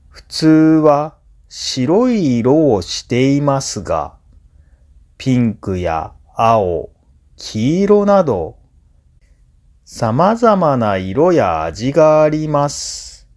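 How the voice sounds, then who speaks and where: Very slow, deliberate male narrator. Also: medium pitch, sing-song, a little mellow. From Japan. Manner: slow, deliberate phrasing in short segments for learners. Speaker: language teacher and narrator. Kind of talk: scripted listening-practice narration.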